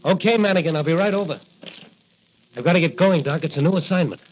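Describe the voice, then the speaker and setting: A man's voice: on the high side, fast talker, highs cut off, slight background noise, natural voice. An actor playing a brave young patrolman and secret masked hero in 1940s radio serial style, on an old broadcast recording. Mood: breezy, eager.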